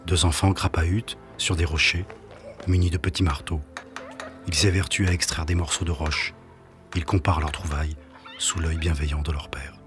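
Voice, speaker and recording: A man's voice: extremely low pitch, flat delivery, normal speed, music in the background. A man reading scripted narration for an audio drama.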